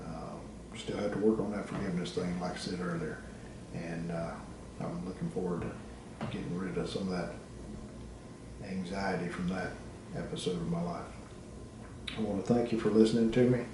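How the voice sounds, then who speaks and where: A middle-aged man giving a personal, reflective presentation as a student, speaking haltingly in short phrases with pauses. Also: low voice, fairly even intonation. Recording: very echoey, distant microphone, background noise.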